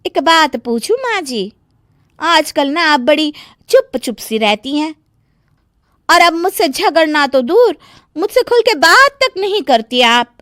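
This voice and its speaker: A woman's voice: sits high, very animated, slow, fairly bright tone, dry recording, studio quality, heavily acted. A woman playing a sassy young village-born daughter-in-law in an acted family story, with a drawling, emphatic delivery. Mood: coaxing, affectionate. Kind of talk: acted Hindi family story cartoon dialogue.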